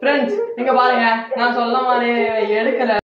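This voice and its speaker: A man's voice: extremely high pitch, flat delivery, brisk. A man hosting a vlog, chatting casually to viewers. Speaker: vlog host. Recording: roomy, distant, dull, clearly noisy home recording.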